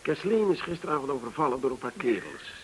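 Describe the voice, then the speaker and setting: A man's voice: mid-range voice, sing-song, fast talker, close to an everyday voice, low-quality recording. An actor playing a determined, inquisitive man in a Dutch radio drama, with a direct, questioning way of talking. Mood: hesitant, polite. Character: determined, inquisitive man resolved to confront an enemy. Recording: hissy old radio recording.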